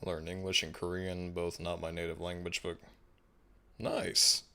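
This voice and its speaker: A man hosting a casual livestream, chatting in a laid-back, musing way with frequent restarts and soft laughs. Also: low-pitched, monotone, normal speed, smooth. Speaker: livestream host. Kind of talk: casual livestream chat, reading viewer comments.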